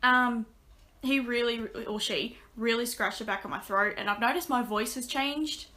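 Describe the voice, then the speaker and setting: Chatty young woman with a bright voice. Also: on the high side, moderate pace. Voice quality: slightly raspy. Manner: chatty, many ums and restarts. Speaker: vlogger. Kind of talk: casual vlog update. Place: small bedroom, indoors. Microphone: webcam at desk.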